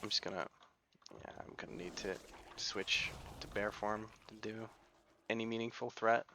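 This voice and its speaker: A man's voice: lowish voice, level tone, normal speed, background noise. A man with an American accent giving chatty, rambling gameplay commentary and often correcting himself. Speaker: gaming YouTuber.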